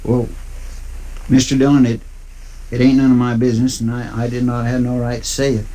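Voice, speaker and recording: An actor playing a deferential, rambling deputy with a folksy rural American drawl in a 1950s western radio drama. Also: male voice, medium pitch, level tone, brisk, mellow, close to an everyday voice. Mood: hesitant. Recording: noisy old radio recording.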